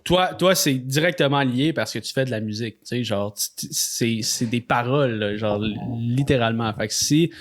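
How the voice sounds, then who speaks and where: A man's voice: mid-range voice, normal speed, hardly any pauses. A man with a Quebec French accent co-hosting a podcast, rambling and hesitating as he makes his point. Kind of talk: casual podcast chat.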